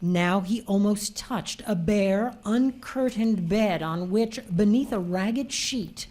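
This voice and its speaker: A woman narrating a live radio drama, phrasing deliberately like a storyteller. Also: medium pitch, a little mellow.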